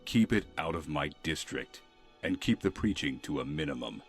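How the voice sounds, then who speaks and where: Weary fantasy-game guardsman, extremely low voice, dry and fed up, music underneath. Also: expressive, normal speed. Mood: stern. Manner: dry, exasperated, sighing. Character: weary, stern city guardsman, fed up with troublemakers. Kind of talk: video game voice acting.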